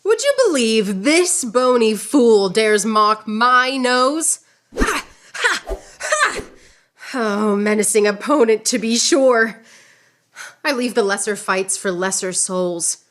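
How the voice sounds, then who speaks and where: A woman's voice: fairly high pitch, animated, takes it slowly, fairly bright tone. A woman playing a proud, witty, wounded swordswoman poet in radio theater, with a lofty, theatrical delivery. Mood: defiant.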